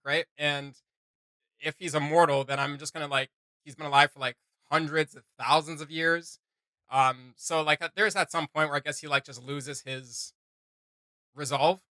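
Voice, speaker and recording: A man's voice: a little high, sharp tone, dry recording. A man hosting a reaction video with casual, off-the-cuff commentary, blunt and sarcastic as he rambles.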